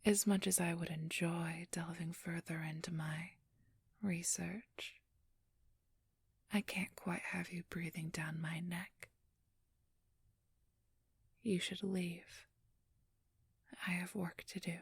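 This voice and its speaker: A woman acts out a cunning supernatural female thief in an ASMR roleplay. Her voice is a very breathy, hushed whisper, unhurried and kept very level. She chooses her words with formal, condescending precision. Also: lowish voice, fairly even intonation, no room sound, heavily acted. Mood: coolly condescending.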